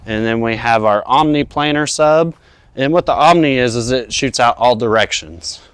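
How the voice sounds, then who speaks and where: Clear-voiced American man, casual installer walkthrough. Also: medium pitch, normal speed, a bit bright, no echo. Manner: informal, explanatory. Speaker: audio-video installer presenting a finished project. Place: outdoors in a residential backyard.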